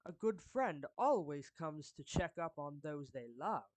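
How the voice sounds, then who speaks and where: Casting: performer as a suave anime villain turned protective friend, formal and deliberate, slow delivery, mid pitch, dry close recording. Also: lively intonation, a little mellow, no room sound, natural voice. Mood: courteous, intrigued. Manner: formal, measured, deliberate, pausing mid-phrase. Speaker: character voice actor. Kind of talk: anime fan roleplay monologue addressed to the listener.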